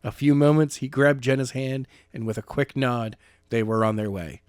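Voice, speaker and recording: Male amateur narrator, steady plain read, mid pitch, dry close mic. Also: normal speed, clean tone, no room sound. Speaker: audiobook narrator. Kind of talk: amateur reading of a novel.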